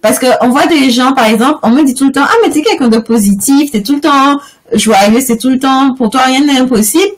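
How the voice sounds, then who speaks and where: A woman's voice: high-pitched, brisk. A woman with a Guadeloupean accent, a coach speaking as an interview guest, thoughtful and hesitant with frequent restarts. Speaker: business and finance coach. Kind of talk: interview guest, casual talk.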